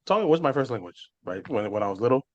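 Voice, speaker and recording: Man, podcast host, chatty, filler-heavy questions, mid pitch. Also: sing-song, fast, a little mellow, muffled recording. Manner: chatty, lots of fillers and "right?" checks. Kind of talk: casual podcast interview.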